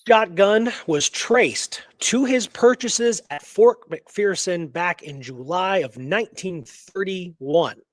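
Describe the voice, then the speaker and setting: A man with a slightly high voice hosts a conversational radio show. He reads notes deliberately, stressing the details, then drops into casual commentary. The sound is very dry, with no room to it. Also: no echo. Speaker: co-host presenting a true story.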